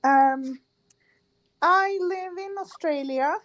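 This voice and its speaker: Shy, slow woman. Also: extremely high pitch, a bit bright, dull audio. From Sweden. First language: Swedish. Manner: shy, hesitant, searching for words. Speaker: guest panelist.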